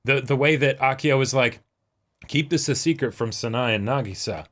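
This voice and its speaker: A man's voice: medium pitch, moderate pace, a bit bright. A man co-hosting an anime reaction show in casual discussion, agreeable and given to repeating his own phrases. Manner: agreeable, often echoing and repeating himself.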